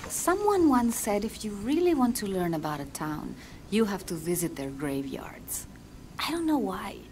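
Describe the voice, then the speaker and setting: A woman's voice: mid-range voice, slight background noise. An actress playing a reflective young woman adventurer, musing aloud in a video game monologue.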